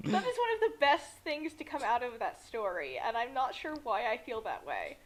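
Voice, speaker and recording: A woman's voice: on the high side, very animated, moderate pace, poor audio. A woman giving casual comedic commentary in a giggly, incredulous manner.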